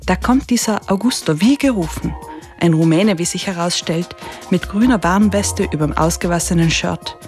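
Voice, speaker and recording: A woman's voice: on the low side, normal speed, darkish tone. A woman presenting a radio play with a scripted introduction. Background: music.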